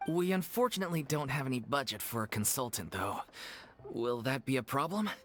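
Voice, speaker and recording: A man's voice: mid-range voice, animated, brisk, fairly bright tone, lightly acted. A man as a polite troupe leader. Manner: polite, formal. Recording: game audio with music.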